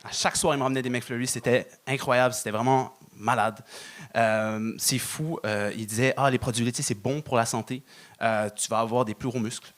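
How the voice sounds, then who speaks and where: A young man doing stand-up on a club stage, deadpan, stalling with fillers. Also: mid-range voice. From Moroccan family. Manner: deadpan, halting with many fillers. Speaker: stand-up comedian. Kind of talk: stand-up set.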